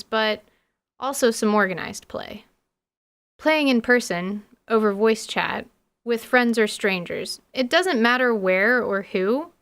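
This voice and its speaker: Female podcast host and game master, crisp medium-pitched voice, articulate scripted narration with a dry wit. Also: a bit bright.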